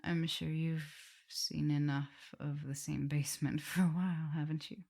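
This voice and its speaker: A woman acts out a loving, nervous vampire mistress for an ASMR roleplay. Her voice sits very low for a woman, with heavy vocal fry. She talks haltingly and intimately, easily drifting toward a whisper. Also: little pitch movement. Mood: nervous, affectionate. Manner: hesitant, halting, breaking into whispers and soft laughs. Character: tender, nervous, guilt-ridden female vampire mistress in love.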